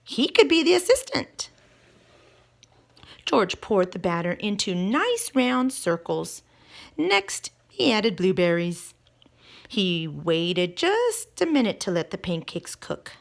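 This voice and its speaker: A woman reads a children's story aloud with a swooping, animated melody. Her voice has a creaky edge at a medium pitch. She stretches key words for emphasis and speaks as a teacher does to young listeners. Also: slow. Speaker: teacher reading a picture book aloud.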